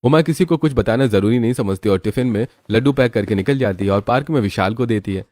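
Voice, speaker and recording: A man's voice: mid-range voice, fast, darkish tone, soft background music. A man narrating a scripted moral story as a storyteller.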